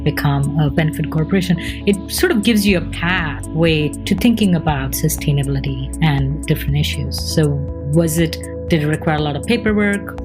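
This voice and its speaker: A woman's voice: deep voice, normal speed, hardly any pauses, over music. A woman hosting a podcast, asking curious, prompting questions of her guests. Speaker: podcast host. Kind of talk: podcast interview.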